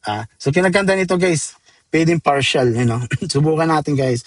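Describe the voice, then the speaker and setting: A man's voice: a little high, expressive, normal speed, no echo. Filipino man giving a casual, dry-sounding tutorial. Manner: informal, addresses viewers as guys, code-switches into English terms. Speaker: software tutorial presenter. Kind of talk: casual screen-demo tutorial, step-by-step.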